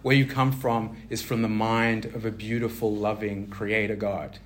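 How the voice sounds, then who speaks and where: A man's voice: on the low side, level tone, normal speed. Guy preaching casually, lots of ums and restarts, checks in with the crowd. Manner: conversational, many fillers and restarts, asks the audience questions. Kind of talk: informal sermon teaching.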